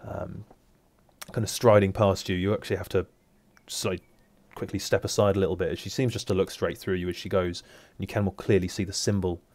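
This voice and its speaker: A man narrates a tabletop game as game master in a casual, halting way, with frequent fillers. His voice sits low and is recorded close and dry. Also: level tone, darkish tone, no echo. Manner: casual, hesitant, many fillers.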